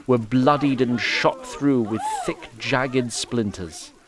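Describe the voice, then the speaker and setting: A man's voice: mid-range voice, slow, poor audio. Guy narrating a fantasy audio play, wry bookish delivery, middle voice, crowd in the background.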